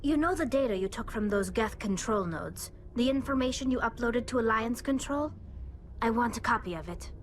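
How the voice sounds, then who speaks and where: A woman's voice: fairly high pitch, animated, normal speed, a little hiss or hum, lightly acted. A woman voicing an earnest, loyal young alien woman engineer who speaks formally and from the heart. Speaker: crew member.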